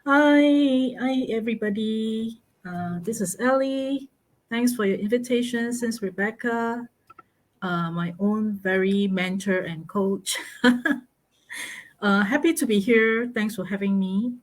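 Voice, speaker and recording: A middle-aged woman from Malaysia, a guest on a live interview, speaking slowly and haltingly with many fillers and easy laughs. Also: a little high. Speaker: guest, online business mentor. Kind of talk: casual interview over a live stream.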